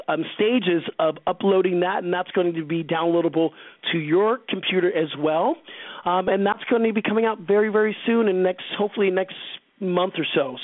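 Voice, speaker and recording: High-pitched casual male podcast host. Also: dry recording, phone-quality sound. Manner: effusive, informal. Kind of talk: casual conversational hosting.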